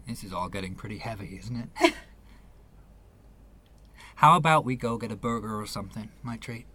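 Man acting earnest, bright-voiced New man. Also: on the low side, moderate pace. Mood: concerned. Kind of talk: radio play acting.

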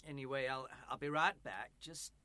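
A man's voice: mid-range voice, normal speed, bright, forceful tone, no room sound, natural voice. An actor in a radio play playing a kindly, earnest pastor, a family man who speaks hesitantly and repeats his words. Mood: friendly, hospitable.

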